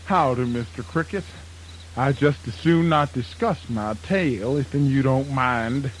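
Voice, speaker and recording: A man playing an excitable, gullible fox in children's radio theatre, folksy and exaggerated, with a Southern US accent. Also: mid-range voice, unhurried, soft background music, natural voice, poor audio. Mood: grumpy. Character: excitable, gullible, grudge-bearing male fox.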